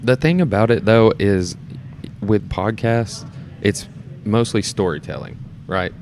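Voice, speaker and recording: A man with a deep, low-pitched voice talks as a guest on a podcast. His delivery is informal and earnest, like a relaxed conversation. Background noise is clearly audible in the room. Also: unhurried. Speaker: podcast guest, theater performer and board member. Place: shared studio space in a theater.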